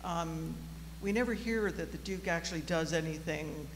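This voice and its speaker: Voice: medium pitch, moderate pace. Audience commenter, reflective, hesitant delivery, reverberant auditorium with a slight hum. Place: large auditorium, audience microphone. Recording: reverberant hall, faint hum and noise.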